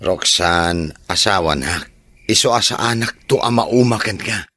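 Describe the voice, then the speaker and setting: An actor playing a calm, patient working husband in an Ilocano radio drama. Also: male voice, sits low, measured pace, dry recording, full character voice. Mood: reassuring.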